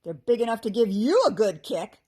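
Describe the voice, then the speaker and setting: A woman puts on a storybook goblin, loud and gruff. He is grumpy and quarrelsome, snorting and grumbling his words with a rough edge. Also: medium pitch, lively intonation, brisk, close to an everyday voice. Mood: jeering. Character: bickering, grumpy male goblins hunting a fairy.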